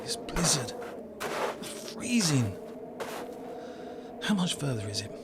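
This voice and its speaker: A man's voice: mid-range voice, sing-song, slow pace, very noisy. An actor playing an uneasy, doubting young man in a horror drama, full of questions. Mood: doubtful. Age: young adult. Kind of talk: dramatic voice acting in a horror motion comic.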